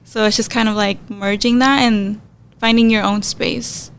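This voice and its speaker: A woman's voice: medium pitch, fairly even intonation, moderate pace, a little hiss or hum. A woman from El Salvador co-hosting a podcast, chatting candidly with lots of "like". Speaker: podcast co-host. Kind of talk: casual podcast conversation.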